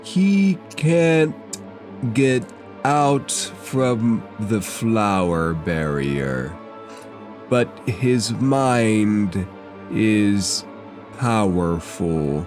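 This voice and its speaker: A man voicing a very large, stern bluish humanoid who gives grave warnings slowly and deliberately in a tabletop game. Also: fairly low pitch, expressive, music in the background.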